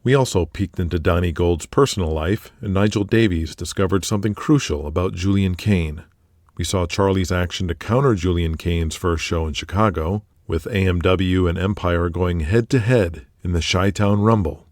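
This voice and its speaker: A man hosting and narrating an audio drama podcast in a steady, measured storytelling manner. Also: low-pitched, no echo, clean studio sound. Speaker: narrator and host. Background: music.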